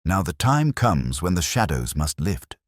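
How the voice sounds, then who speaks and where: A man voicing a grave, confident gentleman detective in a storybook, with measured, formal, authoritative speech. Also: low-pitched, very animated, no room sound, clean studio sound, heavily acted. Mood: solemn. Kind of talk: audiobook character dialogue.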